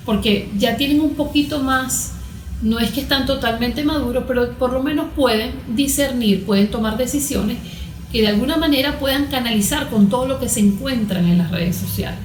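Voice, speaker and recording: A woman with a Venezuelan accent explaining as an expert guest in a conversational interview, didactic, posing questions and answering them. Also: on the high side. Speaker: guest expert, cognitive therapist. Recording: echoey room.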